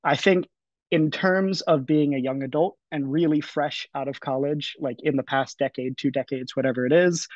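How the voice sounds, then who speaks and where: A man's voice: on the high side, steady tone, moderate pace, dry recording. A man in his twenties speaking casually on a panel over a video call, with frequent fillers and restarts. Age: 20s. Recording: dry, dull lo-fi audio.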